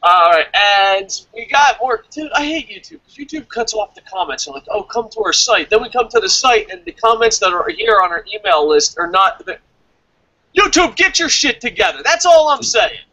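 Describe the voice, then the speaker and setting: A man casually co-hosting a review show, reading out comments and answering them in a blunt, animated, sweary way. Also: high-pitched, moderate pace, no room sound, low-quality recording. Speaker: co-host of a wrestling review show.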